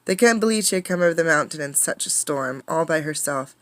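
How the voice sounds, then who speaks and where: A woman reads a children's storybook aloud in a fairly low voice. Her delivery is dramatic and emphatic, like a teacher engaging listeners. The sound is dry, with very little room echo. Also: lively intonation, a little fast, a bit bright. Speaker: teacher reading a picture book aloud. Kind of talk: expressive storybook read-aloud with questions to listeners.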